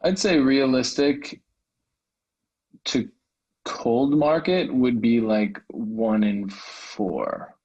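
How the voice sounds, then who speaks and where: A man's voice: medium pitch, drawn-out words, a little mellow, slightly rough, muffled recording. A man speaking casually and candidly, a little self-effacing, as a salesman on a recorded call. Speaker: salesman, prospective coaching client. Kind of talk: casual, candid phone conversation.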